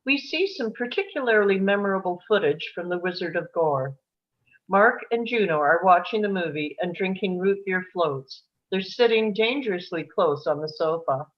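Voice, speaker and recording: A woman's voice: lowish voice, expressive, moderate pace, dull audio. A woman narrator reading scripted stage directions for a screenplay.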